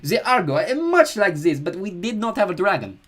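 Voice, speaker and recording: A man voicing a slow-witted, vain young male demigod warrior who speaks hesitantly. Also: high-pitched, sing-song, a bit bright, pitched above the performer's own voice, heavily acted.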